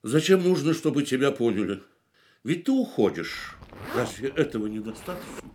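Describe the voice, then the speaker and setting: A man's voice: medium pitch, very animated, normal speed, a little mellow, slight background noise, natural voice. An actor in a radio play playing a wounded, bitter man who pleads with his departing lover, brooding and reproachful. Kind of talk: Russian radio play acting.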